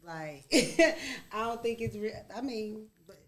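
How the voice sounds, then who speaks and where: A woman co-hosting a casual podcast, with a loud, heavy voice and a blunt, teasing way of talking. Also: mid-range voice, animated, takes it slowly, a crowd in the background. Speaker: podcast co-host. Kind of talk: casual chat.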